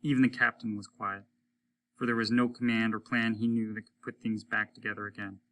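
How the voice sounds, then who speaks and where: A man's voice: on the low side, little pitch movement, a little fast, a bit bright, slight background noise. A man reading a story aloud as a teacher would, in dramatic storytelling narration.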